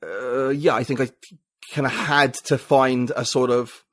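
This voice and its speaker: A man's voice: mid-range voice, fairly even intonation, a little slow, dry recording. Rambling man, dry close sound. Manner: rambling, many restarts and fillers, thinks aloud. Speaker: podcast guest, writer and poet. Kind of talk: casual conversational interview.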